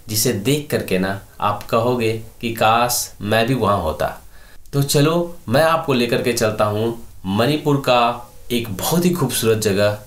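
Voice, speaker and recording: A man in his thirties narrates his travel vlog with eager, storytelling energy, talking directly to the audience. His mid-range voice rings a little in an echoey room at home. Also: medium pitch. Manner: enthusiastic storytelling, addresses viewers. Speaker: travel vlogger.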